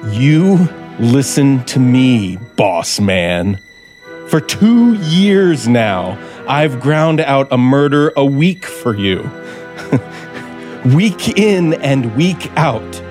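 A bitter, sardonic American writer in a 1950s radio drama. He is intense and deliberate, speaking slowly with big swings of pitch and seething resentment. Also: very animated, a little mellow, soft background music. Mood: seething, menacing. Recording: vintage 1950s radio recording.

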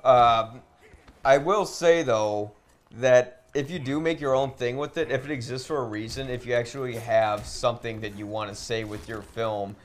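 A man's voice: mid-range voice, fairly even intonation, normal speed. A man talking as a podcast co-host in a casual debate, earnest and argumentative.

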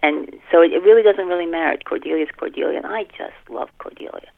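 A middle-aged woman talking casually in a podcast interview as an author, halting and often repeating and rephrasing her phrases. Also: on the low side, vocal fry. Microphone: telephone. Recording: muffled, tinny telephone line.

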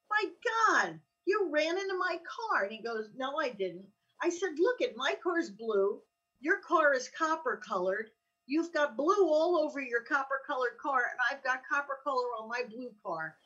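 Older American female, casual storyteller, joking and chatty, slightly husky, lo-fi sound. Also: high voice, sharp tone, recorded from a distance. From Allenhurst, New Jersey, United States. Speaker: co-host.

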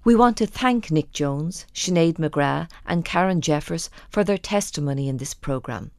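A woman presenter from Ireland reading scripted radio narration in a measured way. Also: low voice, expressive, creaky, no room sound.

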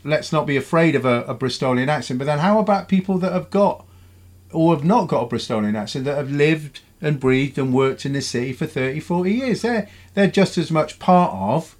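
A man hosting a radio panel, his voice sitting a touch higher than most men's. He talks briskly and keeps firing questions at his guests. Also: animated. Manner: brisk, questioning, steers the talk. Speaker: radio presenter.

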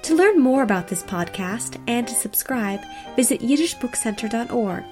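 A woman's voice: on the high side, lively intonation, moderate pace. A woman podcast host reading a scripted sign-off, with guitar and fiddle music behind her. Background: string music with guitar and fiddle.

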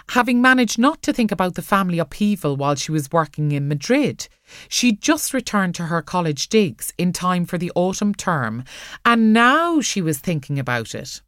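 A woman reading fiction aloud as an audiobook narrator, expressive and measured in her storytelling. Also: medium pitch, no echo, studio quality.

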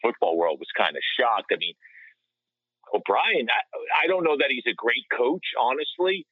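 A man co-hosting a sports podcast, discussing things casually in a measured, conversational manner. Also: medium pitch, animated, breathy edge, dry recording. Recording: slightly dull lo-fi audio.

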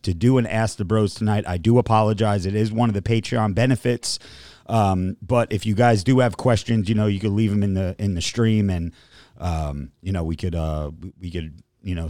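Podcast host, male, lowish voice, dry close mic, loose and rambling delivery. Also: a little mellow, no echo. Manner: rambling, reads supporter names in a long run. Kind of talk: casual livestream podcast chat.

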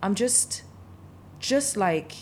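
A woman's voice: mid-range voice, lively intonation, very slow, a little mellow, a little hiss or hum. A woman who grew up in Denmark, talking passionately and emphatically in a casual conversation, often repeating herself.

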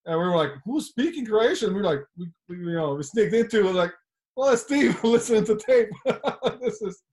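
A man's voice: high voice, animated, normal speed, darkish tone. A man, a coach, chatting casually and often ending his points with a question. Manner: talkative, ends points with "right?". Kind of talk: casual podcast chat.

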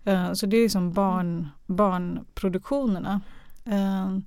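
A woman talks as an interview guest, picking her way through sentences and thinking aloud. Her mid-pitched voice has noticeable creak. It is recorded close and dry. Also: steady tone, a little mellow, no room sound. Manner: hesitant, searching for words, thinking aloud. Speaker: radio drama director or producer, interview guest. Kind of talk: conversational interview.

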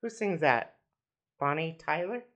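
A woman's voice: low voice, expressive, measured pace, a bit bright. A young woman co-hosting a podcast in casual chat, teasing her partner with playful quips. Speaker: podcast co-host.

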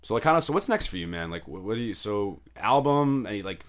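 Fast-talking deep-voiced man. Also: big pitch swings. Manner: quick, affirming, slangy. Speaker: podcast host. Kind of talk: casual interview.

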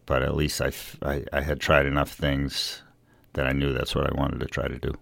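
The American author in a radio studio, middle-aged, reflective and hesitant, rumbling very low and close in a dry room. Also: male voice, monotone, normal speed, creaky, a bit bright, no room sound. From Revere, Massachusetts, USA. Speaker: novelist being interviewed. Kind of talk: conversational interview.

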